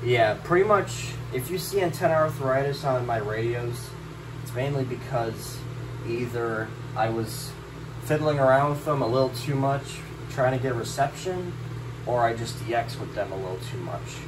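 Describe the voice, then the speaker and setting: A man's voice: medium pitch, moderate pace. A man narrating a casual, unscripted show-and-tell in a rambling way, often repeating himself. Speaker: hobbyist vlogger showing a radio collection. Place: small indoor room. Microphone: handheld camera held by the speaker. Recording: steady noticeable hum of room noise.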